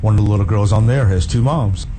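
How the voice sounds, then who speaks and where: Low-ish guy co-hosting radio, slow careful talk, hissy line. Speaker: radio show co-host. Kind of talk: radio interview chat.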